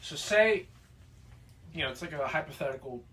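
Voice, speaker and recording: A man's voice: a little high, expressive, moderate pace, a bit bright, distant mic, low-quality recording. A man asking loose hypothetical questions in a casual discussion, swearing offhandedly.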